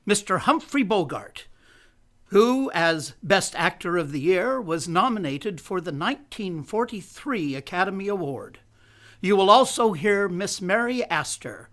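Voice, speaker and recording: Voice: mid-range voice, a bit bright. A performer playing a grand old-time radio announcer, formal and emphatic, introducing a broadcast drama. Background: music.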